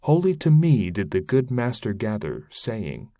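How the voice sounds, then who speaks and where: A man with a low voice recites epic verse slowly and solemnly, with wide dramatic swings in pitch. His delivery is declamatory and measured, captured in a close, dry recording. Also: very animated, mellow, dry recording. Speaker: narrator, poetry reader.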